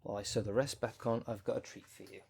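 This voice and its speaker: Casting: man to play necromancer fight coach for ASMR audio roleplay, lowish male voice, wry and caring, casual and colloquial delivery. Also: fairly even intonation, quick pace, creaky, darkish tone, a little hiss or hum. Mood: wry, reassuring. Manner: chatty, colloquial, wry, consoling.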